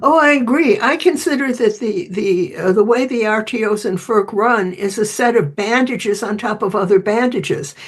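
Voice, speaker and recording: A woman's voice: mid-range voice, moderate pace, hardly any pauses, breathy edge. A woman answering interview questions as an expert author, explaining conversationally and voicing short imagined quotes as she goes.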